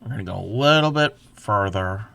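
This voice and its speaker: A man crocheting on a livestream, counting stitches softly under his breath and chatting casually with viewers. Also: fairly low pitch, expressive, moderate pace, creaky. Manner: laughs at himself, chats back to viewers.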